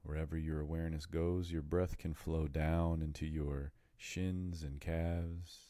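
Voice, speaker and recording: A man leading a guided meditation, speaking in soothing, measured phrases with deliberate pauses. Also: a bass voice, level tone, warm tone, no echo, clean studio sound.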